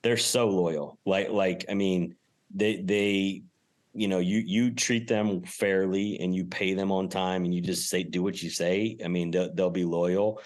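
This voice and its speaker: A man with a deep voice talks as a podcast guest. His delivery is casual and emphatic, with informal phrasing, like a business owner speaking plainly in an interview. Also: steady tone, unhurried. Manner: emphatic, informal, mild swearing.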